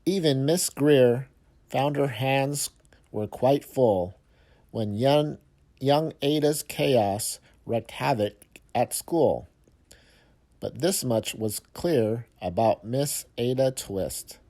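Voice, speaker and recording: A man reading a rhyming picture book aloud to young children, with expressive, measured phrasing and emphatic pauses. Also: mid-range voice.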